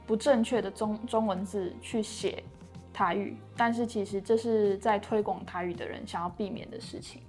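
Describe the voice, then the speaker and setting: A young woman from Taiwan, co-hosting a relaxed, chatty language lesson and often chiming in with quick affirmations. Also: mid-range voice, soft background music. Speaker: co-host teaching Taiwanese words. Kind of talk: casual bilingual lesson chat.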